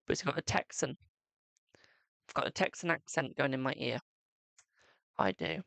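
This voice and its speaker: A man's voice: mid-range voice, normal speed, creaky, sharp tone, no echo. A man giving a live-stream psychic tarot reading, chatting to viewers and calling them "my darling".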